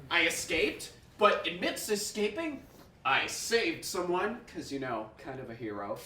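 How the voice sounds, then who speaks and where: Male tabletop player, lively swooping delivery, joking banter, distant echoey recording with faint noise. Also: fairly high pitch, a little slow. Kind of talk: casual in-character banter at a game table.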